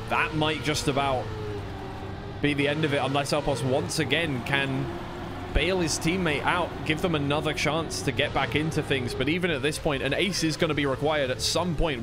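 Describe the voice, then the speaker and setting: A man's voice: a little high, noisy background. A man giving live play-by-play commentary as an esports caster on a racing game match.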